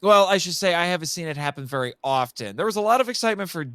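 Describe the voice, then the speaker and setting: A man's voice: high-pitched, lively intonation, very fast, pushed and bright, clean tone, no echo, clean studio sound. A man talking casually and enthusiastically about films as a podcast guest, with a jokey streak. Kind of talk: casual film discussion.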